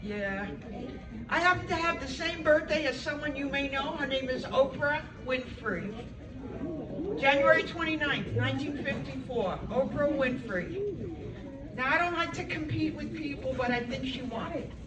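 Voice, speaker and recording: A woman's voice: sits high, normal speed. A woman, doing a chatty, self-deprecating stand-up set and bantering with the audience. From Brooklyn, New York. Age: 70s. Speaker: stand-up comedian. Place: senior center hall. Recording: echoey hall, from far off, loud crowd. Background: audience reactions and room noise.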